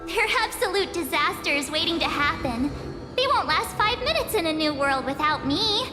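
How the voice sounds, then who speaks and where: A woman's voice: extremely high pitch, expressive, moderate pace. An actress playing an eager, cheerful young girl lost in an empty void, in a video game dub. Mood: determined. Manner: bubbly, questioning, eager. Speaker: game character. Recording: reverberant game scene with music.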